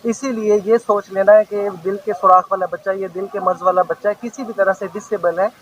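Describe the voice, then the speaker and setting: A man talks in a high, very level voice. He builds long, earnest sentences of advice, and the audio is dull with faint outdoor noise. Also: fairly even intonation, a little fast. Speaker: pediatric heart specialist. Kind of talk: public health message to a reporter. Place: outdoor awareness walk. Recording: dull lo-fi news field audio.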